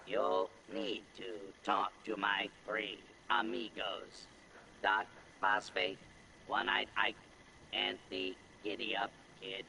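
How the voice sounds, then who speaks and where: A man voicing a folksy robot cowboy sheriff in a video game, with a halting, programmed delivery. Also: lowish voice, vocal fry, sharp tone, a little hiss or hum, heavily acted, low-quality recording. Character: folksy robot cowboy sheriff greeting theme park visitors. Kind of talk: scripted video game character dialogue.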